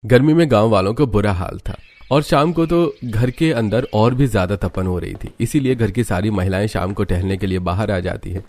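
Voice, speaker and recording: A man's voice: lowish voice, a little fast, darkish tone. A man reading scripted narration for a cartoon village story.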